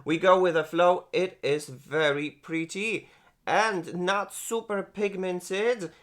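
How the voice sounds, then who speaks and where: A man in his forties hosts a makeup review, gushing over each product. He talks at an unhurried, slow pace in a slightly raised voice, with halting phrases and frequent restarts. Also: sits high, fairly bright tone. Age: 40s. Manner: gushing, exclaims and laughs often; halting non-native phrasing with many restarts. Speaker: beauty vlogger.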